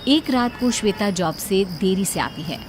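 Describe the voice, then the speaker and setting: A woman's voice: medium pitch, expressive, moderate pace, background noise. A woman reading scripted story narration, with music behind her voice.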